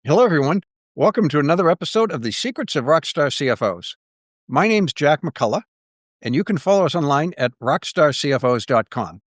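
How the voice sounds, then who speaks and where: Male podcast host, mid-pitched, friendly and loose, dry studio sound. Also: no echo. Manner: friendly, filler-heavy questions. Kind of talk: podcast interview hosting.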